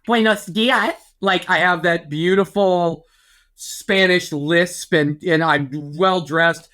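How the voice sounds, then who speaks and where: A man's voice: high voice, takes it slowly, sharp tone. A man co-hosting a podcast in casual chat, riffing playfully. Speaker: podcast co-host.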